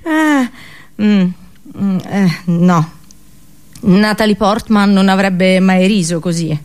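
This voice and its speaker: A woman's voice: medium pitch, slow, a little mellow. A woman performing a comic theatrical monologue for radio, colloquial and sarcastic, full of exaggerated rhetorical questions.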